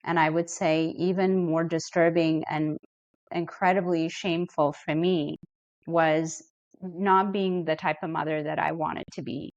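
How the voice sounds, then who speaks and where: A middle-aged woman from Ethiopia, a therapist speaking as a podcast guest in an earnest, reflective and measured way. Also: deep voice, steady tone, no room sound, highs cut off. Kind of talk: podcast interview.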